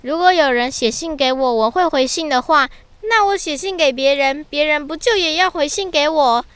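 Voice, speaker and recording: A woman's voice: high voice, moderate pace, fairly bright tone, no echo. A woman voicing a shy, earnest little puppy boy who delivers mail in a children's audio drama, childlike, eager and sincere. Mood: wistful, hopeful.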